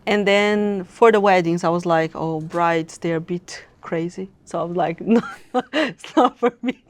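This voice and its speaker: A woman's voice: on the low side, unhurried. A woman in her thirties who grew up in Brazil, the founder of an agency, chatting in an interview, laughing often and restarting her sentences. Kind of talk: casual interview chat. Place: content creation studio.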